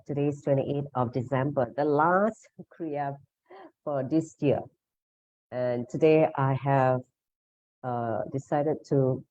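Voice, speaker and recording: A woman's voice: low-pitched, dark tone, no echo. A woman leading an online meditation lesson, explaining in a devotional, instructional way, over a video call. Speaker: meditation session leader. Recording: dull, muffled online session audio.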